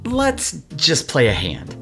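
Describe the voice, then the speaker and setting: A man playing a cocky young card sharp in an audio drama, explaining things in a coaxing, animated way. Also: fairly high pitch, takes it slowly, gravelly, soft background music, heavily acted. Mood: sly, coaxing.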